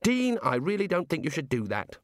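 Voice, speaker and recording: A gloomy young male wizard, weary and exasperated, who sighs his way through awkward explanations, as a man voices him in a storybook reading. The delivery is lively in pitch and sounds close and dry. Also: brisk, dry recording.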